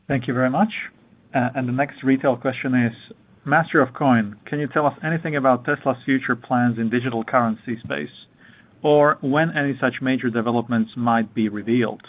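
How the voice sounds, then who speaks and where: A man's voice: medium pitch, steady tone, fairly quick. A man moderating an earnings call, reading out questions that investors submitted. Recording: narrow phone-like conference call line.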